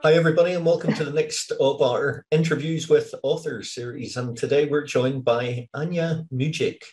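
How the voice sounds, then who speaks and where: A man's voice: mid-range voice, steady tone, normal speed, over music. Upbeat man hosting an author interview. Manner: friendly, upbeat, chatty. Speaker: interview host. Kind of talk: casual interview.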